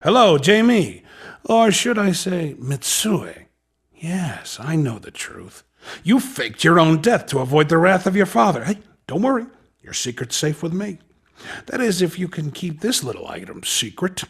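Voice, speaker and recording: A man voicing a slick, pushy underground race recruiter in a video game, with a raspy voice and cocky, insinuating salesman patter. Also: on the high side, sing-song, a little slow, exaggerated voice. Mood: sly, threatening. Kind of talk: video game character dialogue.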